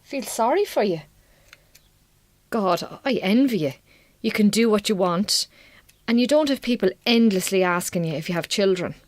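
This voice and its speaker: An actress playing a weary, wry Irish farm wife in a radio drama, blunt but warming, with an Irish accent and colloquial turns of speech. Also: female voice, mid-range voice, expressive, moderate pace, close to an everyday voice. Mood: wry, envious.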